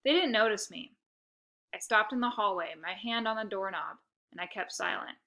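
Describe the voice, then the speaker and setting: A woman narrating a children's story aloud with expressive, scripted delivery. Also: mid-range voice, normal speed.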